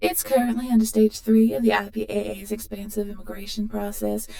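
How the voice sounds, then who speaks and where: A woman playing a polite, dutiful ship's computer in a sci-fi audio roleplay, speaking formally and in a measured way. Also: mid-range voice, little pitch movement, gravelly, warm tone, natural voice. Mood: polite, informative. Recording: roomy echo, distant from the mic.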